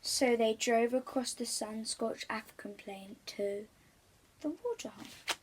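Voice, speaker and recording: A woman storyteller reads a picture book for young children. Her voice sits fairly high with a slight huskiness. She is expressive and leans on key words. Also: unhurried, slightly rough. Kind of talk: children's storybook read aloud.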